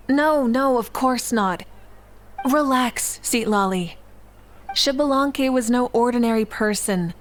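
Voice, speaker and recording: A woman playing a calm, assured, reassuring leader in a video game. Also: on the high side, slow, long pauses, a little hiss or hum. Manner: reassuring, composed. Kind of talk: scripted video game character acting. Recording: music playing behind.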